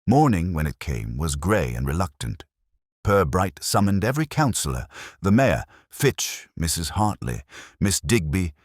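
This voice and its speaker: Very deep-voiced male story narrator. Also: no room sound, studio quality. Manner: measured, dramatic storytelling. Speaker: audiobook narrator. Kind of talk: scripted narration of a detective story.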